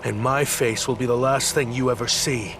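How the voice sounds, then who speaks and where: A man's voice: medium pitch, steady tone, normal speed, noisy background, natural voice. A man playing a grim, righteous soldier captain in a video game, with a formal, stiff way of talking. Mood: threatening. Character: grim, stern soldier captain, haunted righteous male hero. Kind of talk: dramatic video game dialogue. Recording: loud music under the voice.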